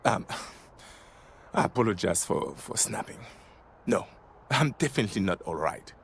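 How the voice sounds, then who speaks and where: An actor playing a formal, flustered and exasperated diplomat in video game dialogue. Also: male voice, lowish voice, big pitch swings, gravelly, slight background noise, full character voice. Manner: formal wording, exasperated, halting.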